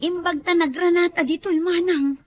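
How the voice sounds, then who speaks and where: A woman's voice: very high-pitched, fairly even intonation, moderate pace. A woman with an Ilocano accent playing a worried, complaining village wife in an amateur comedy drama. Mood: upset, gossiping.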